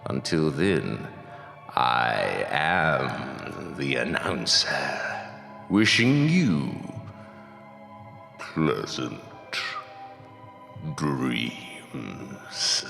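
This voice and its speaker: A man's voice: extremely low pitch, big pitch swings, extremely slow, gravelly, pauses often, pushed and bright, soft background music, exaggerated voice. A man playing a stately ghostly announcer, reading scripted horror radio narration in a formal, ominous, theatrical manner. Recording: echoey hall-like reverb.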